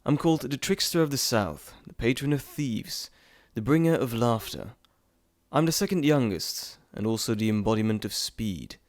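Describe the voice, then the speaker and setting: A playful trickster god , acted out by a man, speaks straight to the listener in an audio roleplay. He is chatty and theatrical, rambling and teasing, with a mid-pitched male voice recorded close and dry. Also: animated, moderate pace, no room sound, natural voice. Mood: proud, teasing.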